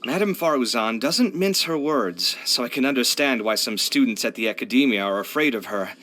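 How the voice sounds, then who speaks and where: A young architect from a video game, proud yet hard on his luck. His voice is bright and forward, at a middle pitch that swings widely as he talks. He is chatty, candid and very expressive. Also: brisk. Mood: thoughtful, admiring. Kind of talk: video game character voice lines.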